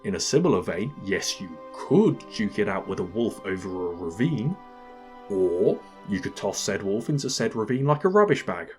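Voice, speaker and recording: A man's voice: fairly low pitch, big pitch swings, moderate pace, faint music behind. A man hosting a scripted comedic video game review, narrating in a dry, wry manner.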